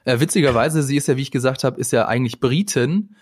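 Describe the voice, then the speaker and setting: A man's voice: medium pitch, fast, darkish tone, slightly rough, no room sound. A man chatting on a podcast, talkative and in charge of the conversation. His voice sits in the middle range, and the sound is dry and close with no room around it. He leans on fillers as he thinks aloud. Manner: talkative, steers the talk, frequent fillers. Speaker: podcast co-host. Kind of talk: casual chat.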